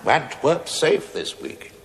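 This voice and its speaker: An actor playing a middle-aged working stonemason in a television play, wry and grumbling, with mock-deferential, theatrical phrasing. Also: male voice, a little high, big pitch swings, takes it slowly, gravelly, full character voice. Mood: wry deference. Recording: echoey hall, faint noise, 1980s TV recording.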